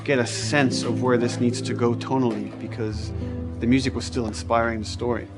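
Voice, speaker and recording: Mid-pitched man explaining over loud music. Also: fairly even intonation, moderate pace. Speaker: game developer. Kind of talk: documentary interview.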